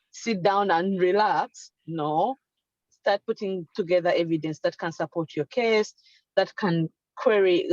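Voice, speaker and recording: Woman panelist, low, earnest and explanatory, dull dry sound. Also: a little mellow, no echo. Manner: earnest and explanatory, acts out example statements. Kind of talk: advisory talk in an online panel.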